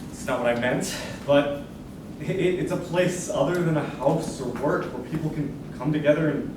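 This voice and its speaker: A man's voice: on the high side, moderate pace, noisy background, close to an everyday voice. A young man playing an earnest, anxious, nostalgic mall manager in a staged reading of a musical, heard from far off. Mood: wistful, worried. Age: young adult. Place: large echoey room, recorded from far off. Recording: distant, very echoey hall stage recording.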